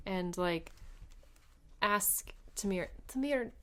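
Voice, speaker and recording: A woman's voice: medium pitch, slow pace, fairly bright tone. A woman player chatting casually at a tabletop role-playing game.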